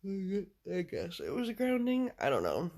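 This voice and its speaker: Deep gravelly guy rambling on, lots of likes and ums, swears casually, voice bounces around a lot. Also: huge pitch swings, takes it slowly, darkish tone. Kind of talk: casual personal chat with a listener.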